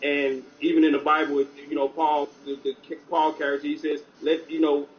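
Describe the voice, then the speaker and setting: A man speaking as a guest on an online talk show, loud and passionate, with many restarts. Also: fairly high pitch, little pitch movement, moderate pace, lo-fi sound, a little hiss or hum.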